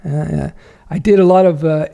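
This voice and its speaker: Gravelly relaxed man answering chat. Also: on the high side, normal speed. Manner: frank, chatty, many fillers and self-corrections. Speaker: livestream host answering viewer questions. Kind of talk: casual livestream Q&A, reading chat aloud.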